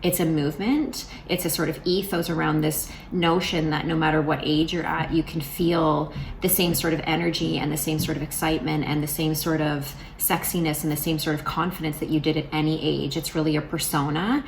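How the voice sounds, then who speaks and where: A woman's voice: low voice, level tone, fairly quick, gravelly, slight background noise. A woman in her forties talking casually to camera in a vlog, chatty and rambling, with lots of fillers and restarts. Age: 40s. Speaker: vlogger.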